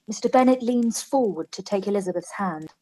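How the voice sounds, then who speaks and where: A woman narrates a live-read radio play at a medium pitch. She reads the stage directions as scripted storytelling. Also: expressive, brisk, warm tone, rough recording.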